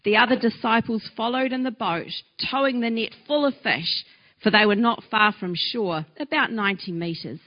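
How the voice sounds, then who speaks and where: A woman reading a Bible passage aloud at a church service in a clear, measured way. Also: on the high side, dull audio. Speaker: scripture reader at a service. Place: church hall.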